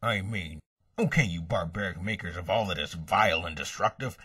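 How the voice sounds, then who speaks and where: A man's voice: sits low, moderate pace, bright, forceful tone, slightly rough, distant mic, exaggerated voice. A man playing a wry, wordy, unflappable dog detective in a comic dub, with music behind.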